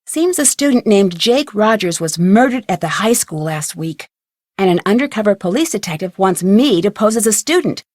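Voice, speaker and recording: A woman's voice: mid-range voice, dry recording, clean studio sound, lightly acted. A woman playing an inquisitive, polite teenage girl detective in an adventure game, with earnest scripted delivery.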